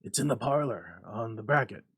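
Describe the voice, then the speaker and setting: Man voicing old man, slow-witted husband, grieving, earnest, bewildered, storybook drama, dry sound. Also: lowish voice, animated, a bit bright, breathy edge, no room sound, heavily acted. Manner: dazed, tender, earnest.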